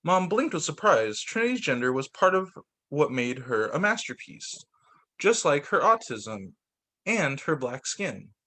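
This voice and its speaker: A man reading a children's picture book aloud like a teacher at story time, expressive, with the odd stumble. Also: fairly high pitch, a little slow. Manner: expressive, voices the dialogue with slight shifts; stumbles and corrects himself while reading.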